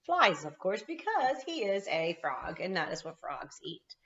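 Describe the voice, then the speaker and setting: A woman's voice: fairly low pitch, very animated, a little slow, fairly bright tone. A woman kindergarten teacher reading a storybook aloud, with explanatory asides to young children, on slightly lo-fi audio.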